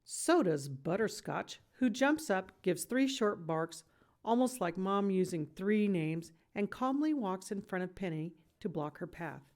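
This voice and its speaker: A woman reading a children's novel aloud in expressive storybook narration. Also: medium pitch, unhurried.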